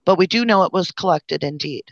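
A woman's voice: fairly low pitch, animated, a little fast, no room sound. A woman hosting a live chat discussion, reading out questions and passing them to the panel. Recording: dull lo-fi online call audio.

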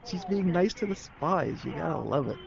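Gravelly man, wry and colloquial. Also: fairly high pitch, animated, moderate pace. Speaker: interviewee, writer. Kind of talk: casual interview talk. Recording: muffled, with crowd-like noise behind.

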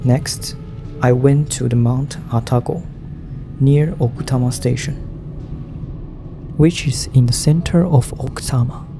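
Slow, gravelly, very dark-voiced man. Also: medium pitch, fairly even intonation, music in the background. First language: Japanese. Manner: careful, measured learner's English. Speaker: travel vlogger. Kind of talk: scripted voice-over narration.

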